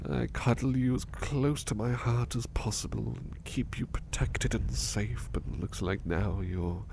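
A man playing a gentle, sleepy, devoted servant in an intimate ASMR roleplay, speaking softly and hesitantly in murmurs and whispers. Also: mid-range voice, a little slow, creaky. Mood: tender, soothed. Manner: soft, drowsy, hesitant, often murmured or whispered. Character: gentle, sleepy, devoted male servant comforting and being comforted. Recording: noticeable background noise.

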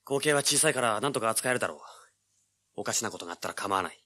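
An actor playing a brash young male treasure hunter in an audio drama, with a rough, slangy, hard-boiled delivery. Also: lowish voice, expressive, racing, pushed and bright. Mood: irritated. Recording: noisy old cassette audio drama.

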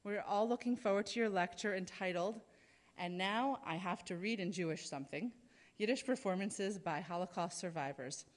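A woman's voice: mid-range voice, moderate pace. A woman in her thirties at a podium, giving prepared introductory remarks from notes with frequent fillers. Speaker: event speaker introducing a lecturer. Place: large lecture hall, at a podium. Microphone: podium microphone. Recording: reverberant hall.

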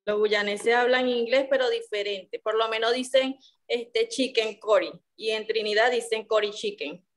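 A woman's voice: a little high, fairly even intonation, normal speed, faint music behind. A woman student chatting casually in a class.

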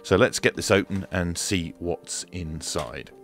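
A man's voice: sits low, unhurried, soft background music. A middle-aged man presenting an equipment review in a small home studio, speaking into a desk microphone. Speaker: product reviewer and host. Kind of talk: radio equipment review narration. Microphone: close desk microphone.

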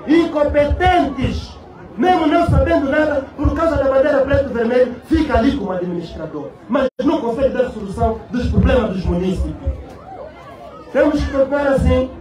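A man's voice: very high voice, normal speed, a little mellow. An Angolan man giving an impassioned political rally speech in Portuguese-accented declamation, throwing rhetorical questions out to his listeners. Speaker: political party secretary addressing a rally. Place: open-sided outdoor rally stand under a canopy. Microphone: cluster of press microphones and PA. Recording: echoing PA, distant, noisy crowd. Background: rally crowd.

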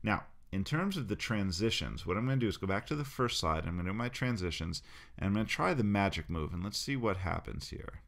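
Deep-voiced man walking through a tutorial. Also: brisk, gravelly. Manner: relaxed step-by-step narration while clicking. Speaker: software tutorial creator. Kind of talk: screen-recorded software tutorial.

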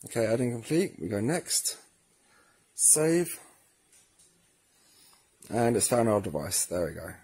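A man's voice: lowish voice, big pitch swings, slow pace, pauses often, dark tone, breathy edge. A man presenting a casual how-to demonstration, speaking informally and in a friendly way to viewers. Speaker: presenter of a product tutorial series.

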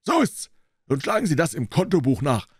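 A hot-tempered male poultry dealer from an audiobook. His voice jumps up high and loud, with swooping, combative bluster and gruff impatience. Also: sing-song, fairly quick, no echo, studio quality. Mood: smug, blustering. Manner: shouting, combative, triumphant bluster.

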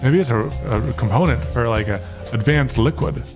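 A man in his thirties doing casual, playful, excitable gameplay commentary as a streamer, often repeating phrases, on a close desk microphone. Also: mid-range voice, moderate pace. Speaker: gaming streamer and co-host. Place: indoor home streaming setup. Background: game music.

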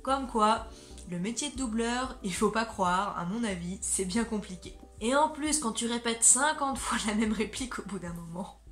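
A woman's voice: fairly high pitch, lively intonation, moderate pace, fairly bright tone. A woman in her twenties chatting casually to camera, talkative and self-deprecating. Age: 20s. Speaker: video creator. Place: small furnished bedroom indoors. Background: faint background music.

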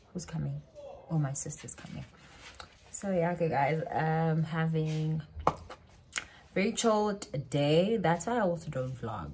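A young woman with a South African Xhosa accent, talking in a slightly low voice. She rambles casually and switches between languages. She speaks into a phone held at arm's length in a small bedroom. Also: vocal fry. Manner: rambling, code-switching, with soft laughs. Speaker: vlogger. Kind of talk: casual vlog chat. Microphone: phone camera at arm's length.

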